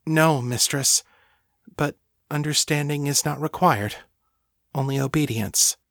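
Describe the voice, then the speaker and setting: Man voicing Lena, a reverent servant woman, pitched up. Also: a little slow, vocal fry, fairly bright tone, no echo, studio quality. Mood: abashed, obedient. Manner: earnest, reverent, submissive. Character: Lena, devoted, self-effacing female thrall, rapturous and servile.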